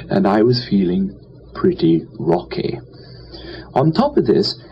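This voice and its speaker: A man acts out a foppish young English gentleman spinning a comic tale. He is cheerful and none too bright, full of chatty upper-class slang, with very lively swooping pitch. The recording is a little dull, with faint noise. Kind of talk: dramatised first-person comic storytelling.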